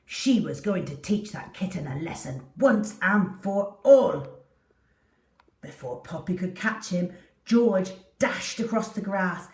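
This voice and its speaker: A woman narrates a children's storybook with animated drama. Her pace is slow and measured. The room around her voice is audibly echoey. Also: medium pitch, recorded from a distance.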